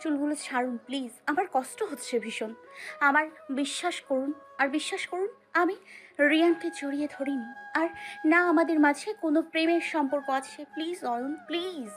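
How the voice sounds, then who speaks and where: Actress as frightened yet defiant young fiancée, very high voice, pleading, tearful, romantic audio drama, background music. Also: female voice, moderate pace, lightly acted. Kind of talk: Bengali romantic audio story acting.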